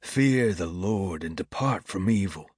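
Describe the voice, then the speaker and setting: A man voicing a fervent, commanding revival preacher in an audiobook, with declamatory, drawn-out oratory. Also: low voice, animated, darkish tone, husky, dry recording, clean studio sound, full character voice. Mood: solemn, beckoning. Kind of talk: old-time revival sermon.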